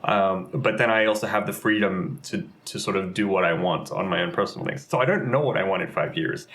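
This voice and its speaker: A man's voice: sits low, fairly quick, fairly bright tone. Casting: young man guest, rambling and filler-heavy, conversational. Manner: rambling, lots of fillers and restarts. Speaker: game community manager and streamer. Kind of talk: casual interview chat.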